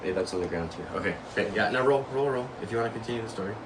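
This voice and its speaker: A young man co-hosting a board game show, telling a story with slow, dramatic emphasis and playful bursts. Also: fairly low pitch. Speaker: co-host. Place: small room indoors. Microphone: far camera mic. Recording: distant camera mic, faint room noise.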